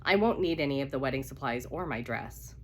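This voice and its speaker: A woman's voice: extremely low pitch, expressive, a little fast, slight background noise. A woman with a cold voicing an anxious, stubborn jilted bride in a romance novel reading. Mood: weary, defensive. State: congested with a cold.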